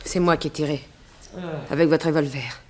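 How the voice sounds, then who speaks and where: A woman's voice: sits low, fairly even intonation, moderate pace. An actress playing an earnest, direct female gang accomplice in a 1960s French radio serial. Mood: grave, frank. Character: loyal, plain-spoken female gang accomplice. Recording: noisy old radio recording.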